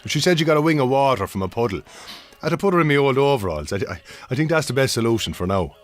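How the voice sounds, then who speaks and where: A man's voice: medium pitch, sing-song, quick pace, soft background music, close to an everyday voice. An actor playing a breezy, tactless Irish farmer who gets defensive with his wife, in a naturalistic radio drama. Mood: jokey, defensive. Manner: jokey, blundering, defensive.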